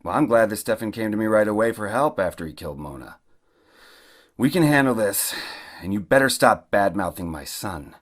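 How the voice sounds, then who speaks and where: A man's voice: fairly low pitch, animated, moderate pace. A man acting as a corrupt, commanding billionaire mayor and protective father in an audio drama, speaking curtly. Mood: firm. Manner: curt, commanding. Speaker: actor in an audio play.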